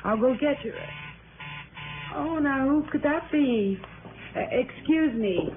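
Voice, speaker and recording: An uneasy, evasive woman coaxes in a flustered, insistent way. The vintage drama recording is narrow and muffled, with clear noise. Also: high-pitched, expressive, slow pace, heavily acted. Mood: uneasy, coaxing. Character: anxious, evasive woman, politely insistent hostess. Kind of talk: 1950s-60s radio drama acting. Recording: muffled old radio broadcast, clear hiss.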